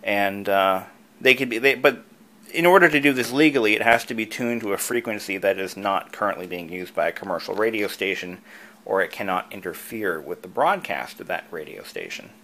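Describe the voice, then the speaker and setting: A man with a fairly low-pitched voice narrates a hobbyist how-to. He talks informally, like a host walking viewers through a project. His explanations are plain and conversational, with casual asides along the way. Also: expressive, fairly quick, sharp tone. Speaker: video creator and host, antique radio hobbyist.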